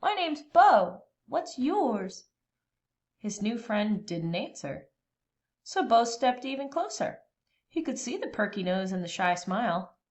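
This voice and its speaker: A woman reading a children's storybook aloud expressively, with dramatic, deliberate pauses between phrases. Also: mid-range voice, animated.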